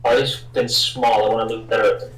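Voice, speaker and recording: A man's voice: on the low side, little pitch movement, fast. A man playing a tabletop roleplaying game, talking casually in character, a bit away from the microphone in an echoing room. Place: indoor room, group game livestream. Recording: echoey room, slightly distant from mic.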